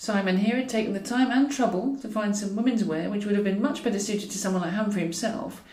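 A woman reading comic fiction aloud as a scripted audiobook, in a wry and expressive manner. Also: mid-range voice, fast talker. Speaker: author reading own novel. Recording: roomy echo, distant from mic.

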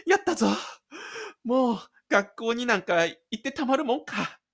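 A man voicing a shy, stubborn high school boy in an audio drama, thinking aloud breathlessly and excitedly as he runs away from school. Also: normal speed, full character voice. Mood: elated, defiant. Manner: breathless, exclaiming inner monologue.

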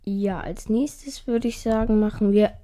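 A young woman chatting casually through mobile game challenges, a little hoarse, her voice often climbing high and cracking. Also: unhurried, mellow. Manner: voice keeps rising high at phrase ends; chatty, swears casually when she fails. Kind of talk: casual gameplay commentary.

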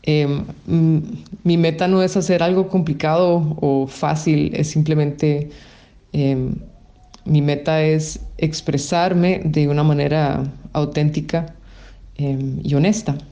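A woman from Costa Rica, a musician, answers interview questions in a thoughtful way and often pauses to hesitate. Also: low-pitched, fairly even intonation, mellow, lo-fi sound. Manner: thoughtful, with frequent hesitation sounds. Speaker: musician guest.

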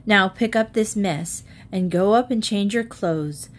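A woman voicing a weary but patient mother who speaks gently to her daughter. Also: medium pitch, measured pace, natural voice.

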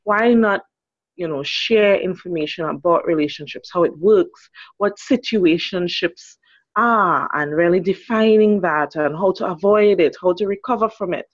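A woman's voice: on the low side, moderate pace, no room sound, dull audio. A woman in her thirties from Trinidad and Tobago with a Trinidadian accent, speaking as a guest relationship educator in a thoughtful interview conversation.

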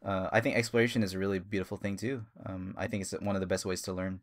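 A man's voice: low-pitched, little pitch movement, quick pace. Chatty man in his thirties, rambling. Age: 30s. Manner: rambling, enthusiastic, many fillers and restarts. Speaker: podcast co-host. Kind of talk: casual podcast chat.

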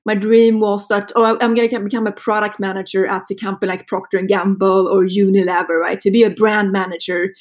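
Swedish-accented woman, halting and chatty. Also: mid-range voice, flat delivery. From Sweden. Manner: hesitant, many fillers and restarts. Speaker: guest, marketing executive. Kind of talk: casual interview talk.

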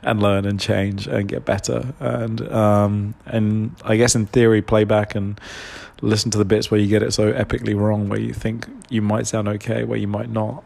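Stuffy-nosed guy with a low voice, chatting alone on his podcast, lots of ums, kinda open and unsure. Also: vocal fry, a little mellow. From London, England. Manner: open, confessional, hesitant with many fillers. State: congested with a cold. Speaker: podcast host. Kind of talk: reflective solo podcast monologue.

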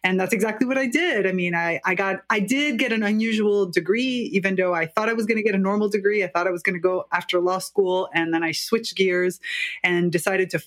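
A middle-aged American woman, a guest in an interview, telling her life story in an animated, chatty way with frequent fillers. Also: medium pitch, fairly quick. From United States.

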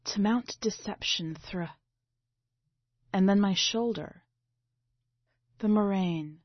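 A woman reading a literary short story aloud in a measured, dramatic way. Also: mid-range voice. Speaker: story reader. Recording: slightly dull, boxy roomy recording.

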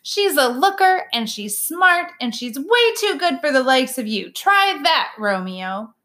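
A sweet, doting old bunny lady from a storybook who gushes over everyone in a high voice, as a woman puts her on. She drawls her words slowly. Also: sing-song, bright, forceful tone, heavily acted. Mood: coaxing. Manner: sweet, gushing. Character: gushing older female pet bunny.

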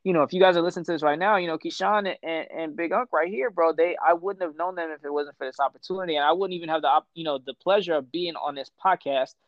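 A young man in his twenties, born in Ethiopia, talks in a relaxed, slangy way and laughs easily. His voice sits slightly high. The sound is dry and close, with a muffled, dull quality. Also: fast, hardly any pauses, no echo. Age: 20s. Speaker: podcast guest, musician. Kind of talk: casual chat.

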